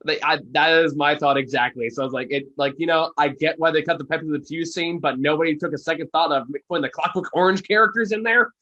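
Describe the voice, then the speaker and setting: A man's voice: a little high, fast talker, hardly any pauses, sharp tone, highs cut off. A man co-hosting a casual, unscripted review chat, talking in a wry and rambling way. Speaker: movie reviewer, co-host.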